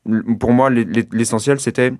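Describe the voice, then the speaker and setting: A man's voice: medium pitch, fairly even intonation, brisk, a little mellow, no room sound, clean studio sound. A man in his late twenties from western France, telling his own story as an interview guest in informal, colloquial speech. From Maine-et-Loire, western France. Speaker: interview guest, artisan baker.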